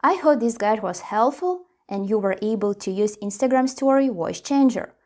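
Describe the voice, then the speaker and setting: A woman's voice: on the high side, lively intonation, moderate pace, darkish tone. A woman presenting a tutorial, reading scripted step-by-step instructions.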